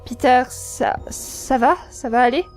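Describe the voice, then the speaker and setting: A woman's voice: high-pitched, unhurried, a little mellow, faint music behind. A woman playing a distressed wife in an audio drama, anxious and pleading. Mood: shaken, anxious. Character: distressed wife torn between two versions of husband. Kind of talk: amateur audio drama acting.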